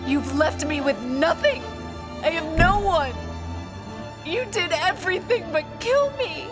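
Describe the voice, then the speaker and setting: Tormented wife, gothic audio drama: pleading, slow, widely swinging intonation over music. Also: female voice, extremely high pitch, animated. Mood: bitter despair. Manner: pleading, anguished, melodramatic. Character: desperate, anguished wife locked away, pleading and accusing. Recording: music under the voice.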